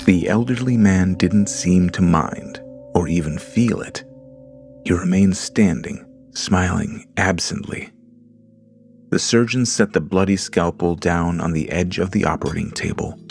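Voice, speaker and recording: A man with a very deep, gravelly voice reads a horror tale at a slow, deliberate pace. His measured, ominous delivery sits over a faint bed of creepy music. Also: darkish tone. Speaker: narrator. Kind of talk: scripted horror narration.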